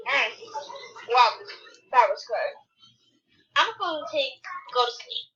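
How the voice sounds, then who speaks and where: Young girl playing pretend in a game, improvising out loud, high voice, far from a dull mic. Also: lively intonation, slow. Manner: playful, improvising lines aloud. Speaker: player voicing a roleplay video. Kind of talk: casual pretend-play game chat. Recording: muffled, distant home mic.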